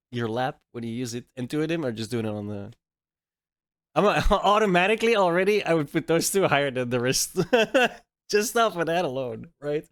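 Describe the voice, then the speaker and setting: A man's voice: fairly high pitch, dry recording, clean studio sound. A man hosting a reaction video, talking in a casual, blunt and joking way and laughing often.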